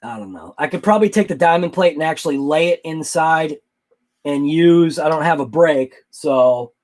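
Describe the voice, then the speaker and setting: A man's voice: on the high side, expressive, normal speed, a little mellow. A man hosting a casual late-night livestream about RC cars, thinking aloud and answering live chat. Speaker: RC hobby channel host.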